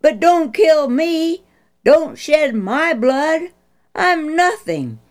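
A woman's voice: fairly low pitch, animated, takes it slowly, darkish tone. A woman voicing an earnest young male hero, calling out and pleading in a storybook reading.

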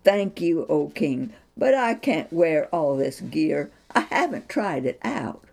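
A woman's voice: low voice, big pitch swings, takes it slowly, creaky, a little mellow, full character voice. A woman voicing a brave young shepherd boy in a storybook reading, speaking earnestly and insistently. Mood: polite.

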